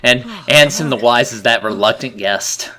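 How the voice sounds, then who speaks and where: A man's voice: medium pitch, moderate pace, sharp tone. A man doing casual video game co-commentary, joking, blunt and sardonic. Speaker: let's play commentator.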